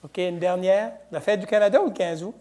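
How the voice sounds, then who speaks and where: Brisk playful woman host. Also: on the low side, mellow. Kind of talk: quick quiz-style interview questions.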